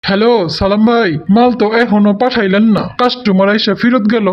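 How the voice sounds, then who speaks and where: A man's voice: high-pitched, fairly even intonation, brisk, close to an everyday voice. A man voicing a brusque male relative in a Bangla comedy cartoon. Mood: businesslike. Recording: dull, faintly noisy voice track.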